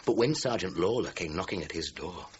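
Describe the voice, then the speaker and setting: Low-voiced, sardonic British police detective. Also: male voice, animated. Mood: coolly accusing. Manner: measured, formal, sardonic. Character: senior male police detective, methodical, coolly accusing. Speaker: actor. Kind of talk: radio crime drama acting. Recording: muffled audio with faint noise.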